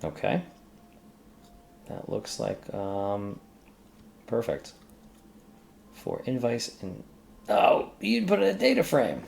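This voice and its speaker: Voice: mid-range voice, huge pitch swings, slow, gravelly, pauses often. A tutorial host thinking aloud casually in a screen-recorded coding demo, with informal asides and some keyboard typing. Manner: informal, exclamatory asides to self and software. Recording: faint background noise, keyboard typing.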